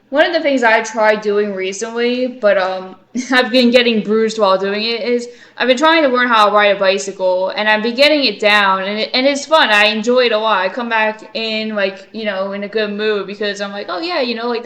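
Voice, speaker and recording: A woman's voice: fairly high pitch, fairly bright tone. A woman hosting a reaction video with casual, joking commentary and playful asides.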